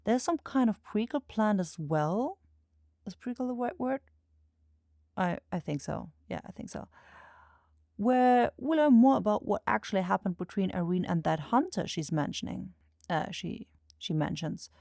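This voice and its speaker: A woman's voice: medium pitch, lively intonation, unhurried, gravelly, mellow, dry recording, clean studio sound. A woman chatting casually to her listeners in a bashful, self-correcting way. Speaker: voice actor and channel creator. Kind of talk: casual outro chat to listeners.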